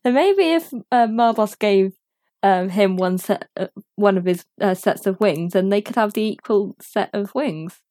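A woman with a mid-range voice jokes in a deadpan way during a casual podcast chat. Her voice sits close and dry on the mic, with no room around it. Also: mid-range voice, a little slow, dry recording. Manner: joking, deadpan boasts. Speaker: podcast co-host.

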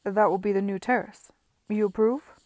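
A woman voicing an excitable, scattered gentleman builder who talks loudly and eagerly. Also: mid-range voice, no echo, close to an everyday voice. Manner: loud, eager, rushed.

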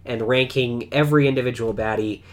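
A man's voice: mid-range voice, fairly quick. A man co-hosting a podcast, talking casually with lots of fillers, a little stuffy from a cold. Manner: relaxed, rambling with many fillers. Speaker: podcast co-host. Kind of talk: casual chat.

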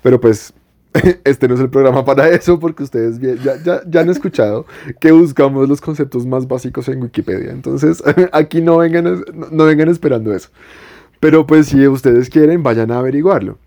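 A man's voice: mid-range voice, normal speed, dark tone. A young man from Bogotá with a Bogotá accent, co-hosting a podcast and explaining his views at length in a reflective, casual way. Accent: Bogotá Colombian Spanish. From Colombia. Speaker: podcast co-host. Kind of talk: casual chat.

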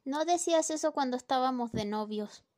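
A woman's voice: high voice, fairly quick, darkish tone, lightly acted. A young woman, a student actor, playing a clingy, melodramatic wife in an amateur radio drama. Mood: sulky. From Chile. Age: young adult.

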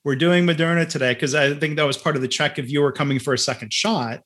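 A man moderating a webinar, relaxed and conversational, reading out audience questions. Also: fairly high pitch, quick pace. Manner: relaxed, many fillers.

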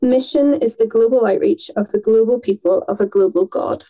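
An earnest, articulate woman in her thirties, talking thoughtfully in a podcast conversation. Her voice is mellow and warm, pitched a little high. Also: normal speed, rough recording. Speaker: mission worker.

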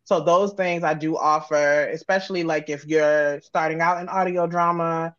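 A woman's voice: low voice, fairly even intonation, normal speed, no echo, dull audio. A woman with an American accent chatting casually as an interview guest, talking informally in loose, run-on thoughts. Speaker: guest, audio drama creator and sound designer.

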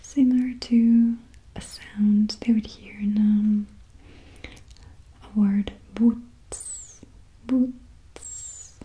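A woman with a Russian accent, a native Russian speaker, softly whispering a patient lesson on the Russian alphabet, repeating each sound. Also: mid-range voice, level tone, drawn-out words, long pauses. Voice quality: soft whisper. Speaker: language teacher.

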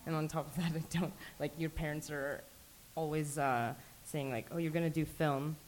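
A woman's voice: low-pitched, steady tone, normal speed, a little mellow. A woman on a panel speaking candidly and personally, with a faint hiss on the recording. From Filipino and English parentage. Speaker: panelist, works in film. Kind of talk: panel discussion.

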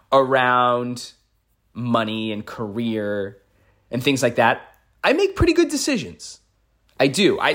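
A man's voice: medium pitch, a bit bright. A man in his thirties with an American accent, giving an impromptu motivational podcast monologue as a life coach, repeating phrases for emphasis. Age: 30s.

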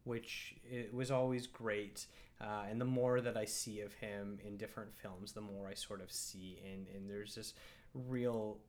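A man hosting a casual film discussion, talking thoughtfully and hesitantly with many pauses. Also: on the low side, steady tone, darkish tone. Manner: thoughtful, hesitant, lots of fillers and restarts. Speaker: podcast host and film reviewer.

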